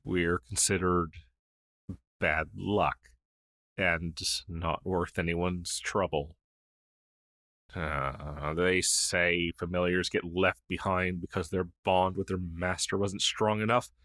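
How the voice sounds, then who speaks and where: A man plays a gentle old male crow familiar, a wistful rogue demon in a fantasy ASMR roleplay. His voice is low and he talks very slowly, halting and sighing softly. The sound is dry and intimate. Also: steady tone, dry recording. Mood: resigned. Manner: hesitant, halting, soft-spoken with sighs.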